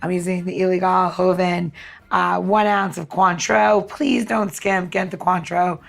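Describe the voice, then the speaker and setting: A woman's voice: on the low side, steady tone, unhurried, vocal fry. A woman co-hosting a podcast, talking through drinks in a casual how-to chat. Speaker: podcast co-host and cocktail mixer.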